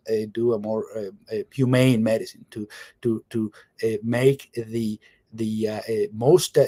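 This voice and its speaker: A man's voice: mid-range voice, a little mellow, no room sound. A middle-aged man from Venezuela, a surgeon, speaking on a video call as a guest, with frequent fillers and repeated words. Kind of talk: casual video call interview.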